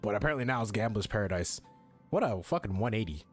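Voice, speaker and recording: A man's voice: fairly low pitch, lively intonation, quick pace, soft background music. A man giving casual let's play commentary in a jokey, rambling, sweary way. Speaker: game streamer.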